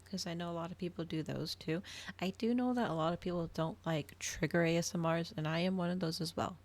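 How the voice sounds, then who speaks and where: Low, creaky-voiced woman streamer, softly chatting. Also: a little fast. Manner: hushed, often dropping into whispers. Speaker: livestreamer hosting an ASMR reading stream. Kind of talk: casual livestream chat, soft ASMR.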